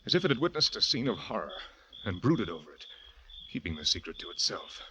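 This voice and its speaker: A young actor playing a guilty, panicking husband who narrates his own story in a halting, stammering way, in 1940s radio drama style. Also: on the low side, sing-song, bright, forceful tone, slight background noise, rough recording. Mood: tense. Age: young adult. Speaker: narrator and lead.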